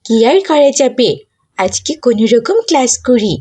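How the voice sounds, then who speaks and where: A woman's voice: high voice, lively intonation, normal speed, warm tone, breathy edge. A woman voicing a lazy, cheeky cartoon schoolgirl who whines and pleads to get out of work. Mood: grumbling. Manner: whiny, pleading, argumentative. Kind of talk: cartoon dialogue acting.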